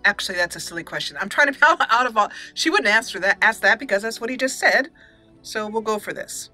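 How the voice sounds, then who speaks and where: A woman's voice: a little high, lively intonation, quick pace, pushed and bright, faint music behind. A woman hosting a roleplay let's play, chatting and reading game dialogue aloud into a close microphone. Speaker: gaming channel host.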